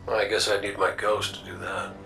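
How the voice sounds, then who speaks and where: An actor playing a wry, roguish robot gunslinger in a video game, with a folksy drawl. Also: male voice, fairly low pitch, little pitch movement, fairly quick, far from the mic, over music, lightly acted. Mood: wry, teasing. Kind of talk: video game cutscene acting.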